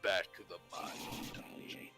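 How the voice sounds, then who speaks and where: A man doing an impression of Crow, a brooding and mysterious male game narrator, in a low, solemn, dramatic way. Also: slow pace, music in the background, lightly acted. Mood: grave narration.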